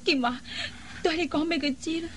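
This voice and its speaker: An actress playing a caring, steady young elder sister in a radio drama, soothing and earnest. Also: female voice, high-pitched, fast, a little hiss or hum, lightly acted. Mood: grave. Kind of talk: Cantonese radio drama acting.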